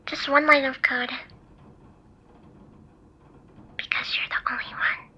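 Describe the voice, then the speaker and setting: A woman voicing a devoted, teasing female AI hacker in an intimate ASMR roleplay, soft and tender. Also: sits high, flat delivery, a little fast, lo-fi sound. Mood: hushed, earnest.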